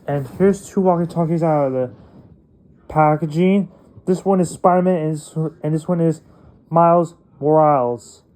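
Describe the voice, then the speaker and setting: A man narrating a toy unboxing casually in short, plain, halting phrases. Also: fairly high pitch, a little mellow. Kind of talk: casual unboxing narration, reading packaging aloud.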